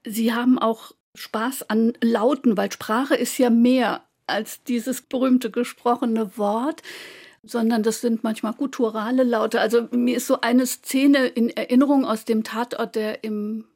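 Bright-voiced German radio interviewer. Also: female voice, a little high, measured pace. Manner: long, elaborate questions, animated. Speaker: radio culture host.

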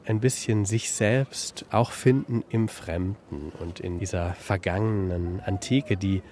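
A man talks reflectively as an interviewed expert, unscripted. His voice is fairly low. There is slight background noise in the interview recording. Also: slow pace, a little mellow. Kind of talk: reflective spontaneous interview talk.

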